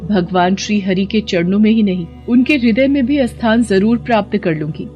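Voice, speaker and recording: A woman voicing a quick-tempered goddess of knowledge in an animated story, speaking in an accusing, indignant way. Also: mid-range voice, muffled recording, soft background music, natural voice.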